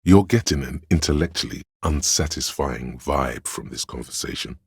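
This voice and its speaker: Very deep gravelly pompous artistic inner voice. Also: male voice, expressive, no room sound, clean studio sound, exaggerated voice. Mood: musing, wry. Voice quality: gravelly, husky. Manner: theatrical, deliberate, pompous. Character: pretentious, arty inner voice of a detective's creative mind. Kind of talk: video game narration, second-person commentary.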